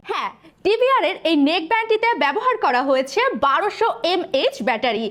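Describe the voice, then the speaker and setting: Very high-pitched Bangladeshi woman selling fast. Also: a bit bright. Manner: salesy, addressing the buyer directly. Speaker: product advertiser. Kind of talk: scripted promotional voice-over.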